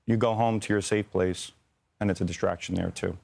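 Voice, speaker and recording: A man with a deep voice and a lot of vocal fry gives interview answers as a working controller. He is plain-spoken and matter-of-fact, pausing and picking his words as he goes. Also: steady tone, darkish tone. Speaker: air traffic controller, interview guest.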